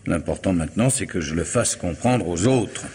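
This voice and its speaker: An actor playing a rational, authoritative lawyer friend, measured, articulate and firm, in a French radio mystery drama. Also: male voice, low voice, expressive, background noise. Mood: composed, reassuring.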